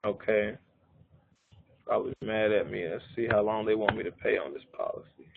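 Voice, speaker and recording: A man's voice: fairly low pitch, steady tone, moderate pace, vocal fry, slight background noise. A man in his thirties presenting life insurance figures on a live conference call, explaining step by step and often checking in with his listeners. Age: 30s. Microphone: phone or call line. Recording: narrow phone-line audio.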